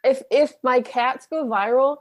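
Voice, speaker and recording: A woman's voice: sits high, a little slow, highs cut off. A woman chatting casually as a podcast guest in a blunt, slangy way. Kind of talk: casual chat.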